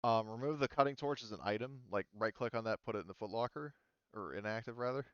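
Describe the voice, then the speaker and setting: A man running an online tabletop roleplaying game as game master, explaining rules casually in a halting way, thinking aloud. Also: medium pitch, a bit bright, smooth, no echo, dull audio.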